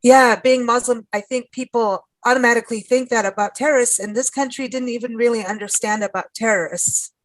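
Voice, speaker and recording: A woman's voice: a little high, steady tone, fairly quick, few pauses, dry recording, poor audio. A woman radio host with an American accent, talking in a chatty, rambling way with frequent restarts and laughs. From South Africa. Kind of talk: casual conversational interview.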